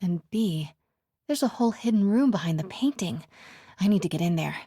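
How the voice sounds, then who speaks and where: Young female reporter, video game heroine, determined, wry, breathy, often hushed, dry close studio sound. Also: mid-range voice, lively intonation, brisk, no echo. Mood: intrigued. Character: determined young woman reporter, sneaking and sleuthing. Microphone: close, dry studio mic.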